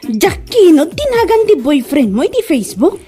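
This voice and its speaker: A woman's voice: extremely high pitch, sing-song, normal speed, dark tone, soft background music. A woman playing a mocking, incredulous female cousin in a comedy drama. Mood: mocking, amused.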